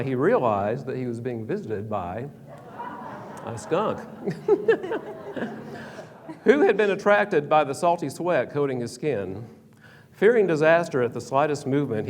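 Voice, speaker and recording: A man presenting a prepared history talk in a hall, reading from a script with small stumbles and restarts. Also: mid-range voice. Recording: reverberant hall, faint background hum.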